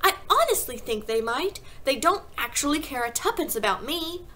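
Woman voicing a desperate, high-pitched little girl. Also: brisk, bright, forceful tone. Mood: pleading, urgent. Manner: urgent, pleading exclamations. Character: small young girl, breathless, desperate and eager.

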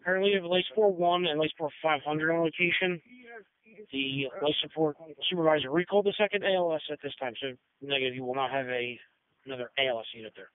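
A man's voice: fairly high pitch, steady tone, fast, clear, resonant tone, no echo. A man working as a county fire dispatcher, reading out units and checks in procedural radio phrasing. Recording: phone-like dispatch radio audio.

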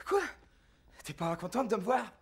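An actor with a French accent playing an awkward, eager young student in a dubbed comedy film. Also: male voice, sits high, sing-song, normal speed, creaky, breathy edge, full character voice. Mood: hesitant, earnest. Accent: French (France). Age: young adult.

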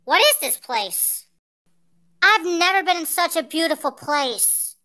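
A performer using a pitched-up voice changer to voice a brave, cocky little alien boy warrior in dramatic anime-style character clips. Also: expressive, unhurried, pushed and bright, exaggerated voice. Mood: puzzled, wondering. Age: child character.

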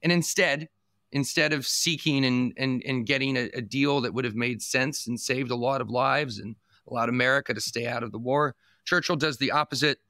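A man hosting a podcast in a bright, forward voice. He speaks in a measured, deliberate way and sometimes repeats a word as he restarts. The recording is dry and close-miked. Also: medium pitch, steady tone, dry recording. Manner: measured, restarts words, lays out arguments at length. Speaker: podcast host. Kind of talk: podcast interview.

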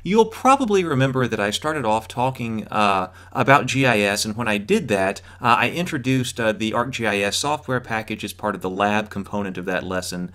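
Man lecturing with lively intonation. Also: on the low side, normal speed, hardly any pauses. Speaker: instructor. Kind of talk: explanatory video lecture.

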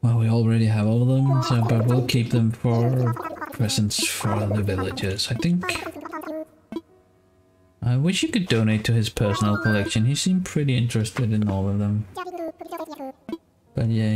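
Male game streamer, thirties, Danish accent, unhurried casual delivery, guitar music underneath. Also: fairly low pitch. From Denmark. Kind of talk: casual gameplay commentary.

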